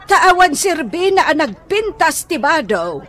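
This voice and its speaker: A woman's voice: very high-pitched, very animated, a little slow, fairly bright tone, full character voice. A woman playing a chatty, candid older neighbour in an Ilocano comedy radio drama, with an Ilocano accent.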